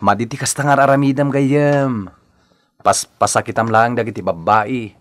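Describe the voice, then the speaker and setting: A man's voice: medium pitch, fairly even intonation, moderate pace, dry recording, close to an everyday voice. A man acting a disapproving, earnest young friend in a radio drama, with a Filipino accent. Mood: scolding.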